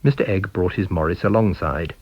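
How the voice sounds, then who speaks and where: A British man reading a story aloud as scripted narration, with expressive, measured delivery. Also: low voice, dull audio. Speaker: audiobook reader.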